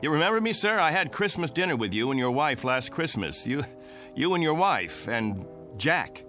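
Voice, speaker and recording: An actor playing a bewildered, rattled Air Force colonel in a radio drama. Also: male voice, a little high, sing-song, normal speed, sharp tone, soft background music. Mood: pleading. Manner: bewildered, pleading, flares into anger.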